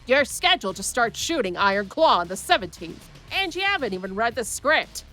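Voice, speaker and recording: A woman's voice: fairly high pitch, big pitch swings, fairly quick, bright, forceful tone, faint music behind. A woman voicing an insistent, businesslike personal secretary in a comic dub. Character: businesslike, nagging personal secretary to a movie star.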